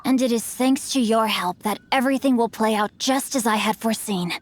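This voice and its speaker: A woman's voice: fairly high pitch, fairly quick, a little hiss or hum, close to an everyday voice. A woman voicing a studious, refined teenage boy with a mischievous streak in a video game, eloquent and theatrical. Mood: smug, calm.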